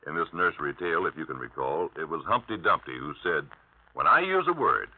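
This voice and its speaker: A man's voice: rumbling low, very animated, fairly quick, husky, no echo. A man delivering a formal, oratorical scripted address on vintage radio. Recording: vintage radio broadcast, muffled.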